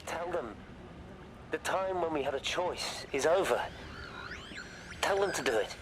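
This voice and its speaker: An actor playing a furious, commanding man in a video game drama, forceful and demanding. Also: a little high, lively intonation, a little slow, noisy background. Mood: furious, urgent. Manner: forceful, swearing. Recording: music under the voice.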